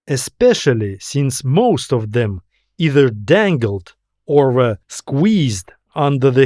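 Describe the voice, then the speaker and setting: Casting: man for sci-fi audiobook narration; measured, slow, deliberate delivery with drama, recorded close and dry. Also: mid-range voice, a little mellow, no echo. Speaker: author and narrator. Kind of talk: scripted science fiction story narration.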